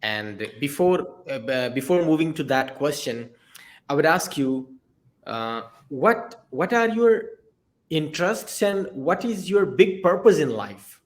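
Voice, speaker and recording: A man hosting a podcast interview, asking his questions in a halting, formal way. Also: a little high, sing-song. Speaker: podcast host.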